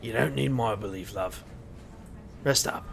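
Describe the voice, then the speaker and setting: A man playing a roguish, affectionate supernatural boss in an audio drama, teasing and protective in manner, over faint rumbling noise. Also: measured pace, gravelly, a bit bright. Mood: fond.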